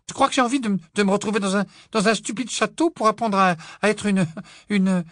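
A man's voice: sits high, fairly quick, a bit bright, dry recording, clean studio sound, pitched above the performer's own voice. A man voicing a spiteful young girl who whines and stammers in scorn. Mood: whining.

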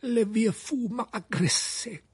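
A man's voice: high voice, slow pace, breathy edge, no echo, pitched above the performer's own voice, full character voice. A man voicing a dazed, aggrieved teenage wizard champion who complains falteringly in an audiobook story. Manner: stammering complaint.

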